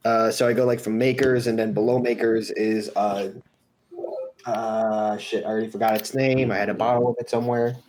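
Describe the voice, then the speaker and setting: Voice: medium pitch, fairly even intonation, brisk, a little mellow. A person chatting casually and in a rambling way on an online voice call. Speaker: amateur voice actor in a live comic dub. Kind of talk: casual chat. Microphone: voice call microphone. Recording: faint noise and clicks, online voice call.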